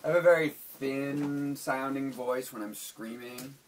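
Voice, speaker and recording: A man's voice: mid-range voice, measured pace, a little hiss or hum. A singer-songwriter man talking casually in his recording studio, rambling with lots of fillers and the odd swear word.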